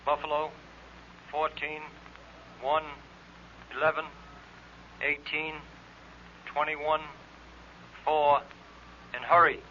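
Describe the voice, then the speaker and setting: A man's voice: on the high side, flat delivery, slow pace, long pauses, bright, forceful tone. A man playing an urgent policeman reporting in code over the telephone in an old radio serial. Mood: urgent, terse. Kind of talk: 1930s-40s radio serial acting. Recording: noisy dull old recording, telephone line.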